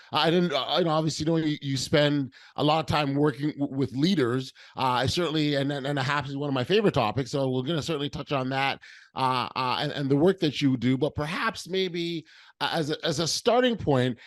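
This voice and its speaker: Guy hosting a podcast, stumbles and restarts a lot, higher voice, dry close sound. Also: dry recording. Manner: halting, lots of restarts and fillers. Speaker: podcast host. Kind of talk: interview hosting.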